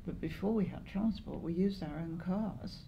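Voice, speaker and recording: An older English woman in her seventies or beyond, reminiscing in an interview in a measured, halting way with pauses. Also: lowish voice, creaky, dull audio, a little hiss or hum. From Lancashire, northwest England. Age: 70s or older. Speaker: interviewee, longtime community volunteer. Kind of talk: oral history interview, reminiscing.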